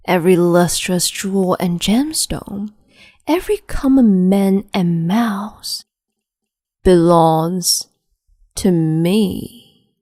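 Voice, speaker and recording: A woman voicing a cruel, capricious empress in an ASMR roleplay, speaking slowly and haughtily in a half-whisper close to the microphone. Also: fairly low pitch, darkish tone, no room sound, exaggerated voice.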